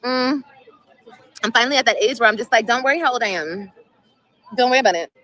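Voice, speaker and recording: A woman's voice: fairly high pitch, fast, bright, forceful tone, low-quality recording. A woman in her thirties chatting casually on a livestream outdoors at sunset, emotional and rambling, repeating herself often. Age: 30s. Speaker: vlogger.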